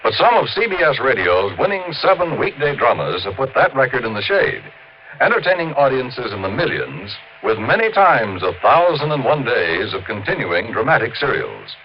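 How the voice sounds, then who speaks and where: Very animated American vintage radio announcer man. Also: mid-range voice, normal speed. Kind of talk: 1950s scripted radio announcing and promotion. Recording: dull, slightly noisy 1950s radio recording.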